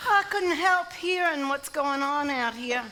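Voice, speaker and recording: A woman's voice: sits high, slow, sharp tone, exaggerated voice, poor audio. An actress playing a folksy, sly and guarded country woman in a live stage drama, with a Southern US accent. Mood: coy, teasing. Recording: live stage recording, hiss and night insects.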